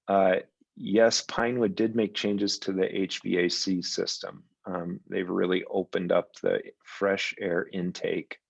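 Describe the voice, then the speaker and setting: Gravelly low-voiced man on a call. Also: measured pace, dry recording, low-quality recording. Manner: relaxed, rambling with fillers. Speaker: school principal. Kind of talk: meeting report. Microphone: video call.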